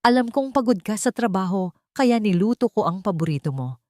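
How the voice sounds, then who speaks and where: A woman voicing a simple, devoted wife in a narrated love drama, gentle and attentive. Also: mid-range voice, expressive, a little fast, dry recording, clean studio sound, close to an everyday voice. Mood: caring.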